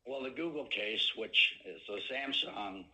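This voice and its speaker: Deep voiced exec guy on an earnings call, really slow, halting, lots of pauses. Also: bright, forceful tone, low-quality recording. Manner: halting, hesitant phrasing with many pauses. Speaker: company executive. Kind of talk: earnings call question and answer.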